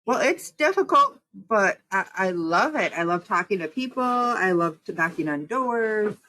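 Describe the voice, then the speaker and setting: A woman in public office takes her time as she answers, laying things out item by item. Her voice sits at a mid pitch, and her slow pace makes each point land. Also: expressive, bright, forceful tone, rough recording. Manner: unhurried, explains step by step in lists. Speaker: county supervisor and state representative. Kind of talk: interview answers.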